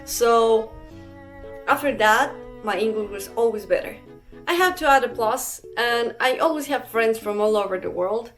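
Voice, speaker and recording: A woman's voice: on the high side, normal speed, fairly bright tone, faint music behind. A young woman from Ecuador with an Ecuadorian Spanish accent, telling a rambling casual storytime vlog full of fillers and restarts. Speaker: vlogger.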